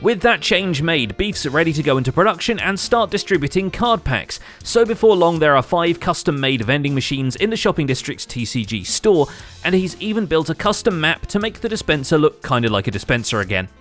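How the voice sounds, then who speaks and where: A man's voice: on the high side, sing-song, brisk, fairly bright tone, faint music behind. A man hosting a gaming recap show, reading scripted narration in a wry manner.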